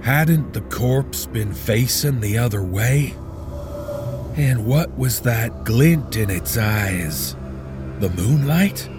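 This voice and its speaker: A man narrating a horror story in a hushed, low, drawn-out delivery, over eerie music. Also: lively intonation.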